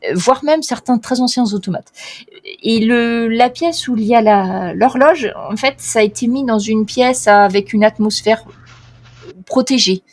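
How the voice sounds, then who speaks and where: A woman's voice: a little high, unhurried. A woman narrating as game master of a tabletop role-playing game, speaking casually with frequent restarts and fillers.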